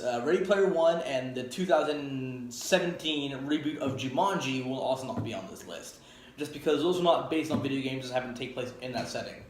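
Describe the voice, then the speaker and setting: A man hosting an informal video review to camera, speaking in a blunt, opinionated, rambling way. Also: mid-range voice. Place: home garage, indoors. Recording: echoey room with faint background noise.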